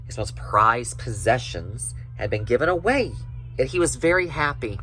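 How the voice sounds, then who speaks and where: A man telling a children's story in an expressive storybook reading style. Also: medium pitch, moderate pace, soft background music, low-quality recording.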